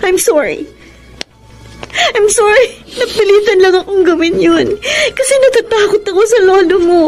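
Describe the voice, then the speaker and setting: An actress playing an anguished, guilt-ridden young escort in a Filipino radio drama, pleading emotionally with a Filipino accent. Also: female voice, very high voice, moderate pace. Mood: tearful, guilty. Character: anguished young escort woman confessing betrayal to the man she loves. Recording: dramatic music behind the voice.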